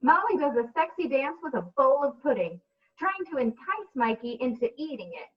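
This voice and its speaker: A woman's voice: sits high, lively intonation, normal speed. A woman comedian narrating a comedic radio play through a dull, distant mic.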